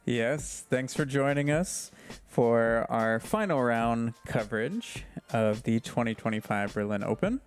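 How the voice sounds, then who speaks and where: Man, American accent, sports commentator, relaxed, mid pitch, faint music behind. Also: measured pace, clear, resonant tone. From United States.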